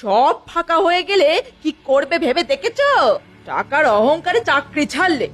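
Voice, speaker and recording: A woman's voice: sits high, big pitch swings, moderate pace. A woman playing a scolding, exasperated wife with a nagging, questioning manner in a comedy cartoon. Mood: reproachful.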